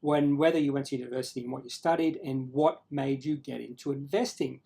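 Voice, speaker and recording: A man with an Australian accent talking casually to viewers as host of his own channel, explaining things in a halting, self-correcting way. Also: mid-range voice, steady tone, gravelly, mellow. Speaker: finance video creator and host.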